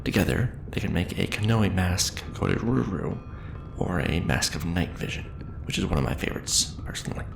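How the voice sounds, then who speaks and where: A man playing a seasoned, patient and wry craftsman teacher in an ASMR roleplay, speaking in a measured, hushed way with dry humor. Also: low voice, animated, gravelly, reverberant, over music, full character voice. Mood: instructive. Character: seasoned male sci-fi fantasy mask-forging craftsman teacher, patient, wry. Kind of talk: ASMR roleplay, instructional monologue.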